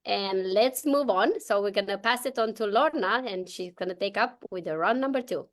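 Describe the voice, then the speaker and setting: A woman from Romania with a Romanian accent, hosting a casual online group call, bubbly and quick to laugh, often restarting her sentences. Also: medium pitch. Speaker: host.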